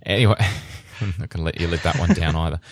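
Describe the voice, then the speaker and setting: A man's voice: low-pitched, quick pace, no room sound. A man hosting a technical podcast, talking in a rambling casual way with restarts and easy laughter. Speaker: podcast host.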